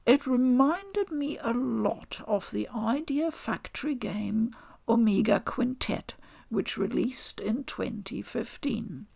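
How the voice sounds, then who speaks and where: Woman, scripted review narration, slow pace, creaky fry, measured phrasing, background music. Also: fairly high pitch, animated, a little mellow. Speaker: game reviewer. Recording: home voice-over with music underneath. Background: game music.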